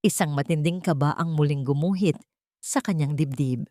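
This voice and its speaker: A woman narrating a scripted dramatic story in a measured, solemn storytelling manner. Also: low-pitched, dark tone, no room sound, studio quality. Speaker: narrator of Tagalog love stories.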